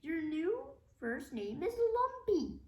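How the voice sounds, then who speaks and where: Storybook villain, played by a male reader: bossy mad professor, high gloating voice, roomy echo. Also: very animated, takes it slowly, mellow, muffled recording, full character voice. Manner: bossy, taunting.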